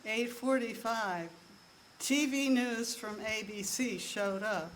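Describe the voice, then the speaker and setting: Soft-voiced woman doing a slow deadpan bit. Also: fairly high pitch, a little hiss or hum. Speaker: monologue performer. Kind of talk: deadpan comic monologue. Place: live show in a room.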